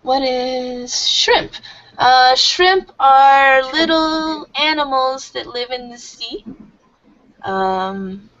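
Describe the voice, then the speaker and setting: A woman teaching an online English class, explaining slowly and warmly with many fillers. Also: high voice, muffled recording.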